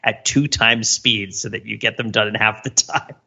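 Bright, creaky-voiced man chatting. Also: lowish voice, fairly even intonation, normal speed, dull audio. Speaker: podcast co-host. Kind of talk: casual conversational banter.

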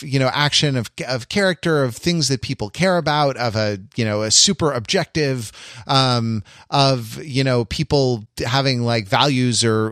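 A man co-hosting a podcast, talking through a film casually and haltingly, repeating phrases as he thinks aloud. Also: medium pitch, a bit bright, clean tone, no room sound, studio quality. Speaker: podcast co-host.

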